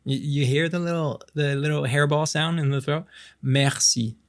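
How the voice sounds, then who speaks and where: A man's voice: a little high, steady tone, close to an everyday voice. Man acting as friendly French Canadian best-friend tutor. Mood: playful, patient. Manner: halting, encouraging, often chuckling, drawn-out demonstrations. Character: friendly, playful young man tutoring his childhood friend. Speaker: voice actor in a romantic audio roleplay. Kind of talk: intimate romance ASMR roleplay, casual improvised-sounding tutoring.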